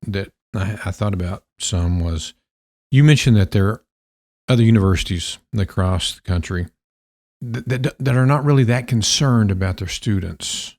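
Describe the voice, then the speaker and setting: A man's voice: fairly low pitch, moderate pace, darkish tone. A man hosting a casual university podcast, asking questions in a friendly, conversational way. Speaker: podcast host.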